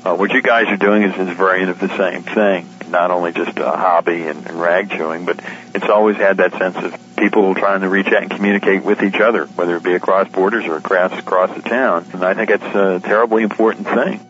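A man's voice: low voice, steady tone, brisk, fairly bright tone. A man speaking candidly and colloquially as a guest in an informal radio interview. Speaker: editor and publisher, interview guest. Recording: dull, lo-fi radio interview recording.

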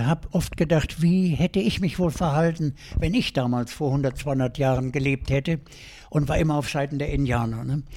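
Older man telling adventure stories. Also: fairly high pitch. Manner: lively storyteller, colloquial, many fillers and restarts. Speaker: guest adventurer. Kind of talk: telling a life story in an interview.

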